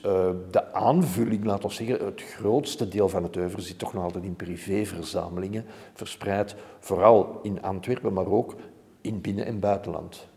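A man's voice: lowish voice, warm tone. A man in his sixties with a Flemish accent, giving informative interview answers as a curator in a reverberant museum room. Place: indoor museum room hung with framed art. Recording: hollow room with long reverb.

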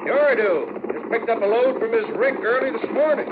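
Blunt wagon boss, American, high calling voice, clipped, very noisy old radio recording. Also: male voice, animated, moderate pace, phone-quality sound. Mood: brisk. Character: brisk, blunt male wagon boss hauling hides. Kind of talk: 1950s radio western acting.

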